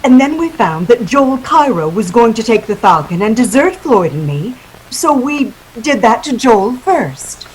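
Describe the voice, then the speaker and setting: Woman playing a lilting, high-voiced radio femme fatale. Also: sing-song, unhurried, slight background noise, low-quality recording. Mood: coy, evasive. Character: scheming, seductive femme fatale dame, world-weary liar. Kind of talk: 1940s radio melodrama acting.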